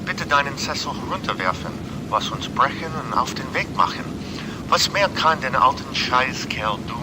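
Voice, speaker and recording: A man's voice: animated, normal speed, close to an everyday voice. A man playing a cheerful, absurd airline announcer with mock-formal patter that switches between languages. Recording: noisy tape with low rumble.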